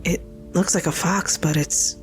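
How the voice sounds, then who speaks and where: A woman acts a troubled young daughter in an audio story. Her voice is low for a woman, soft and hesitant, as if confiding, with music clearly playing behind her. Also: steady tone, measured pace, close to an everyday voice, low-quality recording. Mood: uneasy. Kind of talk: fantasy audio drama.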